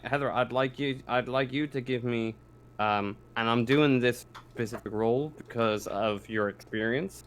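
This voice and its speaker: A man's voice: medium pitch, slight background noise. A man working as game master, narrating a tabletop roleplaying game in vivid description mixed with informal rules talk.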